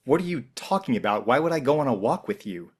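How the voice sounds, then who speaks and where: A man playing a bewildered, wary new office employee in a script table read, with a husky voice. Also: mid-range voice, big pitch swings, fast. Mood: confused, wary.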